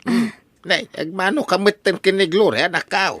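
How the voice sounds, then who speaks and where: A man playing an elderly widowed Ilocano father giddily in love, hesitant and full of drawn-out interjections, in a comedy radio drama. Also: high voice, a bit bright, dry recording. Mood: excited, coaxing.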